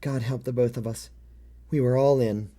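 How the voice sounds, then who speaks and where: A man's voice: mid-range voice, fairly even intonation, moderate pace, dark tone, close to an everyday voice. A man voicing a world-weary, fatalistic private detective who narrates a noir story in a brooding, dramatic way. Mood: grimly resolved.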